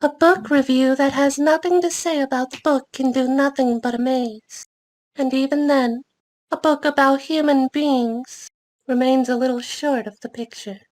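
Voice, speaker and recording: Lady doing an ancient goddess, real high voice, solemn and formal, commanding, fantasy table read. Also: fairly even intonation, normal speed, a little mellow, natural voice, rough recording.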